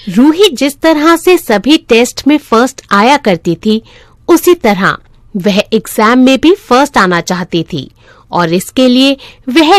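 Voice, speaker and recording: A woman's voice: fairly high pitch, dry recording, studio quality. A woman narrating a scripted moral story for children as a storyteller.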